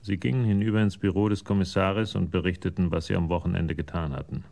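An actor narrating a German radio crime drama as the storyteller of a police tale. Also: male voice, deep voice, fairly even intonation, fast, vocal fry, darkish tone.

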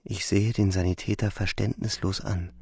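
An actor playing a shaken, stubbornly loyal young soldier who narrates and speaks in a radio drama. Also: male voice, sits low, flat delivery, moderate pace, gravelly, darkish tone, slight background noise. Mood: disbelieving, agitated. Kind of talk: radio drama, first-person narration and dialogue. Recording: music behind the voice.

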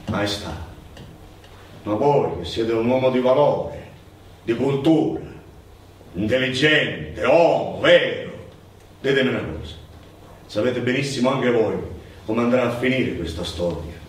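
A man plays a shrewd Sicilian nobleman, deferential yet cautionary, in theatrical style. His mid-pitched voice carries through a very roomy hall from some way off. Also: lively intonation, a little slow, long pauses, lightly acted. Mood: measured warning. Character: shrewd, deferential male marquis warning a new king. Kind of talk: stage drama. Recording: very echoey hall, distant mic, faint noise.

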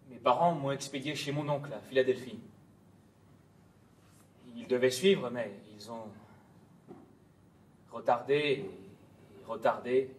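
A man's voice: mid-range voice, a little slow, long pauses, a little hiss or hum, close to an everyday voice. A man acting on stage as a polite, reserved young army lieutenant, formal and deferential, answering tersely in French. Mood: subdued. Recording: stage pickup from a distance.

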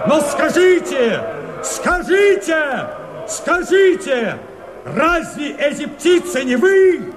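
An actor playing a fiery, defiant rebel Cossack, declaiming verse in a strained, ringing, oratorical voice for a radio drama. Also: male voice, very high-pitched, very animated, full character voice. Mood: furious, rousing. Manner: impassioned, oratorical, drawn-out. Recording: crowd clamour and music behind voice. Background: shouting crowd, music.

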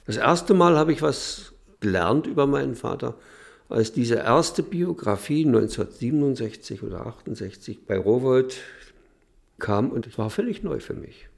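An older man in an interview, recalling his past in a hesitant, halting way. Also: mid-range voice, animated, gravelly. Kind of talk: interview recollection.

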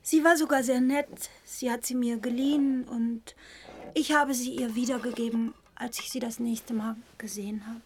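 An actress playing a coquettish, evasive young woman in a literary radio drama, with a coy, soft delivery. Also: on the high side, fairly even intonation, slight background noise, natural voice. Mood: soft coaxing. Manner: coy, soft, unhurried, slipping into breathy murmurs.

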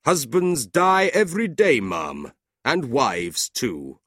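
A man's voice: mid-range voice, huge pitch swings, fairly bright tone, dry recording, clean studio sound, voice pushed up, full character voice. A man voicing a cold, scornful older businessman uncle with a curt, sneering delivery in a storybook reading. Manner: curt, sneering, drawn-out emphatic words.

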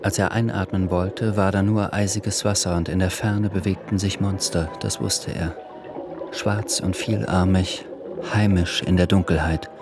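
A man narrating a literary radio drama with measured, deliberate phrasing. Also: low-pitched, fairly even intonation. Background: music.

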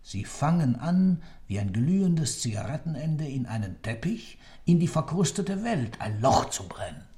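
An actor playing an intense, obsessive accused man in a radio play, insistent and fervent, swinging from loud to hushed. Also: fairly high pitch, sing-song, measured pace, few pauses. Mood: fervent, agitated. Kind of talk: German radio play acting.

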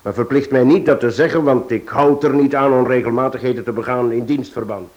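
Casting: male actor as composed chief inspector for radio play, mid-pitched, courteous, measured and firm. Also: steady tone, darkish tone, muffled recording, slight background noise, natural voice. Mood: measured, planning. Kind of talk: radio drama acting.

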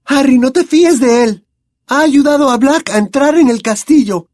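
A high, shrill teenage witch girl who cries out her accusations in a frantic rush, as a man voices her. She sounds raised and strained, pitched far above a grown man's speech, with a close, dry sound. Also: normal speed, a little mellow, no room sound, close to an everyday voice. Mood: frantic accusing.

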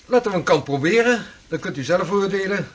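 An actor playing an earnest 16th-century navigator and mapmaker who talks in a measured, formal way. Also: male voice, high voice, lively intonation, slightly rough. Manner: measured, formal, old-fashioned speech. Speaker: seafaring cartographer. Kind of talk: radio drama acting.

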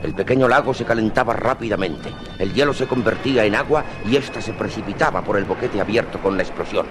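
A man's voice: lowish voice, fast, background noise. A man narrating dramatically in the style of a 1950s radio adventure serial. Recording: vintage 1950s radio, music underneath.

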